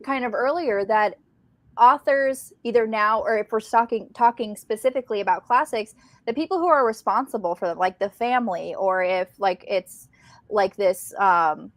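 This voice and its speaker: A woman's voice: medium pitch, moderate pace, fairly bright tone, dry recording. A woman, co-hosting a casual live discussion about books. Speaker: co-host of a book discussion livestream.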